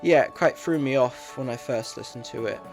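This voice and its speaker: A man's voice: medium pitch, moderate pace, soft background music. A man giving a scripted review of audio dramas.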